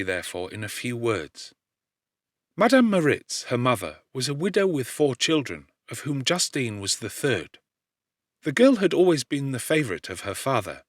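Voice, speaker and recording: A male audiobook narrator reading the letter of an affectionate young woman to her cousin, gentle and expressive. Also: medium pitch, dry recording, studio quality. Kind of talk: audiobook reading of a classic novel.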